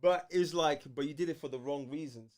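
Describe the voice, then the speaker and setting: A man's voice: on the high side, fairly quick, darkish tone. A man with a London British accent chatting casually as co-host of a fan livestream, slangy, opinionated and emphatic. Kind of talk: casual fan debate chat.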